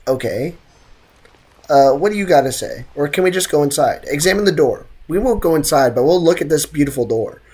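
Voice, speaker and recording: Female game streamer with a very deep voice, casual, slangy, joking commentary to chat. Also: lively intonation, a little fast, dark tone, husky. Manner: joking, slangy banter with viewers.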